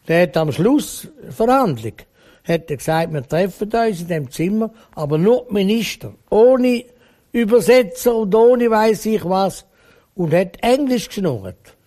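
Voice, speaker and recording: Swiss German man, fairly high voice, very animated pitch, blunt and emphatic interviewee. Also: measured pace. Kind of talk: opinionated conversational interview.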